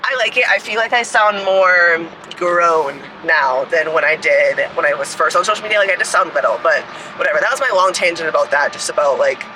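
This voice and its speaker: A woman in her mid-twenties with a deep, raspy voice, rambling casually to a phone camera outdoors, full of "like" fillers and swearing. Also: fairly quick, bright, forceful tone, a little hiss or hum, poor audio. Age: 20s. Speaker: fitness coach and creator. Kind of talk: casual vlog talk to camera.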